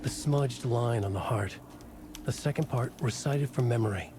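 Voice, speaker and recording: An actor playing a solemn writer who narrates a horror story in a video game, in short, deliberate sentences. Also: male voice, mid-range voice, noisy background, lightly acted. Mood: ominous, solemn. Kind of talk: scripted literary narration in a game.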